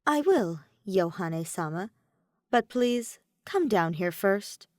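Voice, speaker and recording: A woman voicing a composed, mature teenage girl who speaks politely and formally in a measured way. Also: mid-range voice, expressive, dry recording, clean studio sound.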